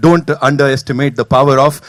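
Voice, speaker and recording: Fast-talking man hosting an event. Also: mid-range voice, fairly even intonation, no room sound, clean studio sound. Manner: mixes Hindi and English, upbeat and pushy. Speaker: event host. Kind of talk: lively press-event hosting.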